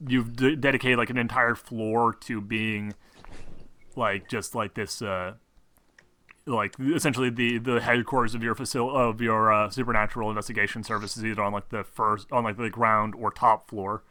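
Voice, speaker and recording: Bright-voiced man running a tabletop game. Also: on the low side, fairly even intonation, no room sound. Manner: playful mock-scolding, halting explanations. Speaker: game master. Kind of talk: casual banter running a tabletop role-playing game stream.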